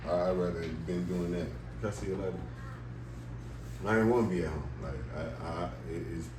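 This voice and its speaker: A young man with a very deep, low voice chats in a relaxed, slang-filled way, often checking in with his listener. He sounds set back from the mic in an echoey room, with steady noise underneath. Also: fairly quick, far from the mic. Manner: laid-back, slangy, full of "you know what I'm saying". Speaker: rapper guest. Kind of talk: casual interview chat.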